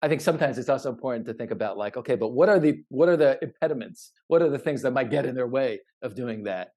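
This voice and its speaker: A man co-hosting a podcast in casual, thoughtful chat, halting and repeating words as he thinks. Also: mid-range voice, animated, warm tone. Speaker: podcast co-host.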